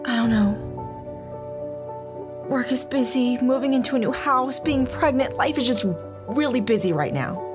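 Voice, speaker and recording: A woman's voice: sits high, animated, moderate pace, long pauses, music in the background. A woman playing a stressed, overwhelmed young wife in an audio drama, anxious and fretful in manner.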